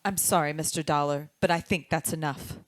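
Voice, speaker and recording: A woman's voice: deep voice, steady tone, brisk, no echo, natural voice. A woman playing a firm, composed small-town doctor in an old-style radio drama, speaking formally and with measure. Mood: grave, protective.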